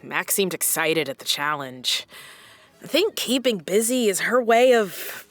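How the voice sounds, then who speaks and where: A woman's voice: lowish voice, big pitch swings, unhurried, dry recording, faint music behind. A woman playing a frazzled, conscientious small-town public radio host in a comedy audio drama, earnest and given to sighing. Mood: weary, worried. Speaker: radio host and station journalist.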